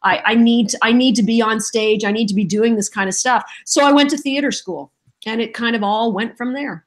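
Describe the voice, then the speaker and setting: Middle-aged woman guest, voice actress, lively and self-mocking, slightly high voice, chatty interview storyteller. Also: fairly quick. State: just getting over a cold. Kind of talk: casual interview chat.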